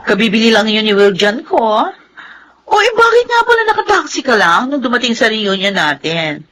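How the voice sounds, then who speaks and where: Casting: bragging, meddlesome rich Filipina friend in melodrama; high pitch, very lively intonation. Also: female voice, normal speed, poor audio. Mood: smug, boastful. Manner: boastful, gushing. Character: boastful, well-off, meddling young wife and friend. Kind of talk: melodramatic Filipino serial drama acting.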